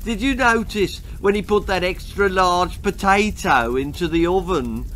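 A man's voice: high-pitched, measured pace, fairly bright tone, slight background noise, pitched above the performer's own voice. A man with an English accent voicing a mild, easily amused, oblivious father in a comic children's story. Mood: tickled.

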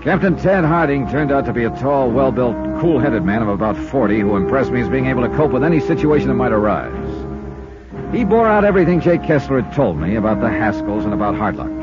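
On a dull old radio recording, an actor plays a tough American male investigator with a very animated, swooping delivery. He is dry, wry and clipped, in the hard-boiled style of fifties radio drama. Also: mid-range voice, fast, loud music behind the voice, close to an everyday voice. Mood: narrating. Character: tough, wry male insurance investigator narrating his own case. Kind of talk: 1950s radio detective drama acting and narration.

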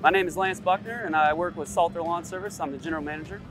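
A man with a fairly high voice gives a casual testimonial. Music plays clearly underneath the outdoor recording. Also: quick pace. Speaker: lawn service general manager. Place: outdoors at a trade expo.

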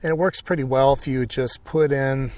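A man's voice: medium pitch, steady tone, moderate pace, darkish tone, dry recording. Male painting instructor, slightly distant mic. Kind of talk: casual painting tutorial commentary.

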